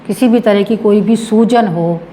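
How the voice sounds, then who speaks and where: A woman's voice: mid-range voice, measured pace, warm tone, low-quality recording. Female presenter, demonstration lesson, patient step-by-step explaining, faint background noise. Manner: explains with repetition while demonstrating. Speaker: health tips presenter.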